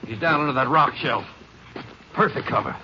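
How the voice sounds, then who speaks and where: A man's voice: mid-range voice, moderate pace, background noise, natural voice. A man acting as a sheriff on a manhunt in a 1950s Western radio drama, with an urgent, clipped delivery. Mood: stern. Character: male Western sheriff, lawman partner on a manhunt. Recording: dull 1950s radio recording.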